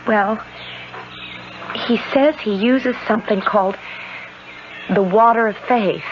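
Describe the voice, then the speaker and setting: Actress as pathetic, hopeful sister, earnest and wistful, mid-range voice, dull vintage radio sound. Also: female voice, medium pitch, takes it slowly, close to an everyday voice. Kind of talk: 1970s radio drama acting. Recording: muffled, faintly noisy old radio broadcast.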